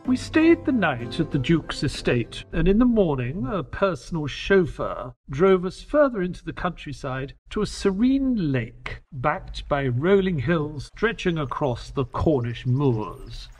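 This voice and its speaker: Audio drama companion-narrator, male actor, skeptical grumbler, higher register, unhurried and husky. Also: faint music behind, heavily acted. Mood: narrating. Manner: exasperated, expressive storytelling.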